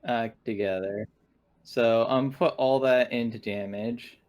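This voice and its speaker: Male player, average pitch, casual game talk in short, broken phrases. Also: steady tone, slow, darkish tone.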